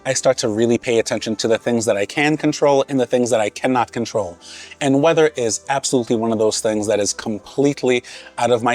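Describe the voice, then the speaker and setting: A man in his thirties speaks straight to his viewers in a casual, earnest vlog. His voice sits at an ordinary middle pitch, and he talks in a relaxed, reflective way. Faint music plays quietly under his voice. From Haiti, raised in Massachusetts. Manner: earnest, conversational, unhurried. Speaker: vlogger. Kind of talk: casual reflective talk to camera.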